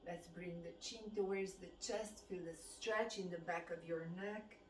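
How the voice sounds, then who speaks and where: Female exercise instructor, Romanian accent, measured and slow delivery of movement cues; roomy, off-mic sound with faint noise. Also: fairly low pitch, steady tone. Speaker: fitness and qigong instructor. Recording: distant mic, echoey room, background noise.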